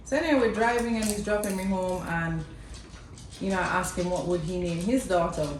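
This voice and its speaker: A young Jamaican woman casually telling a family story to camera, chatty and lively, laughing often between lines. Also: fairly low pitch, brisk. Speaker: mother telling her son's birth story. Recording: echoey room with faint background noise.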